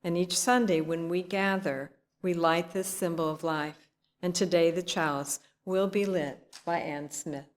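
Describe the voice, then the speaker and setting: A woman's voice: on the low side, fairly even intonation, measured pace. A woman giving a short formal introduction to a ritual in a worship service. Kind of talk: formal liturgical speech.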